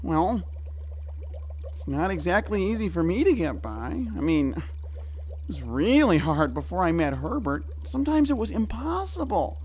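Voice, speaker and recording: Over noticeable background noise, a very high, swooping voice from a male actor plays an eager, dim young man. He stammers earnestly and stays nervously upbeat in this audio drama. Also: big pitch swings, measured pace, a little mellow. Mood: earnest, consoling.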